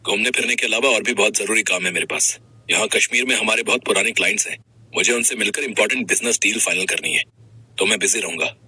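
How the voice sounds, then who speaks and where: A man's voice: on the low side, fast, bright, forceful tone, breathy edge, dry recording, heavily acted. A man voicing an arrogant, callous young husband and businessman, curt, scolding and dismissive in manner. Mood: cold.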